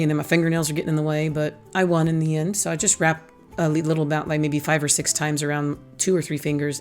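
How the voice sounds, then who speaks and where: A hoarse, raspy-voiced middle-aged woman hosting a casual craft tutorial, chatty and teasing herself as she explains each step. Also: deep voice. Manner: chatty, self-teasing, laughs at her own jokes. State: hoarse, losing her voice. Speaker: craft channel host.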